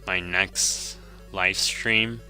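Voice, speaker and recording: Deep-voiced, unhurried male game streamer. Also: fairly even intonation, bright, forceful tone, faint music behind. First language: Japanese. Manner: easygoing, chuckles often, self-correcting. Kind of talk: casual gameplay commentary, reading chat.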